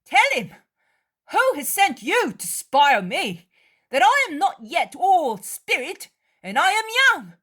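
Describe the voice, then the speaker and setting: A woman reading aloud who voices a rude, defiant young apostle, shouting in a high, strained voice. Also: animated, takes it slowly, long pauses, exaggerated voice.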